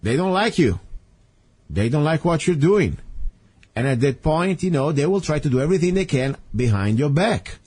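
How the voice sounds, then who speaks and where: Italian-accented male talk radio host; husky, rambling, earnest, with many restarts. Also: fairly high pitch, sing-song, normal speed, darkish tone. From Italy. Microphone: studio microphone.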